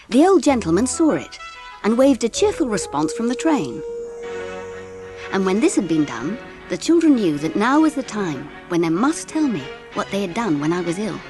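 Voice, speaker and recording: A woman playing a composed, candid mother who narrates a dramatised audiobook in measured, expressive storytelling. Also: medium pitch, close to an everyday voice.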